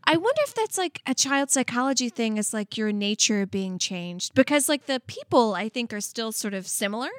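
A woman's voice: a little high, moderate pace, hardly any pauses, no echo. A woman from Saudi Arabia, co-hosting a casual comedy chat and acting out voices in her stories. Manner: animated, acts out voices in stories. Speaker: comedian co-host.

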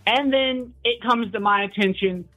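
A man's voice: very high-pitched, normal speed, sharp tone, faint music behind, low-quality recording. A man of about twenty calling into a podcast, telling a story with animation. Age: 20s. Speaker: caller. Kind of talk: casual phone call.

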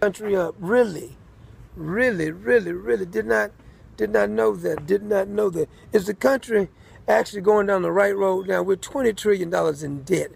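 A man with a high voice full of heavy gravel delivers a rambling political rant. He hammers points by repeating them, and his tone is husky and rough. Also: moderate pace, darkish tone. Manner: repetitive, emphatic.

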